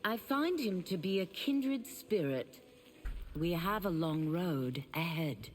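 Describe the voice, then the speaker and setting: A woman's voice: fairly low pitch, lively intonation, slow. An actress playing an earnest, formal woman warrior in a video game. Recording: hall reverb, music behind.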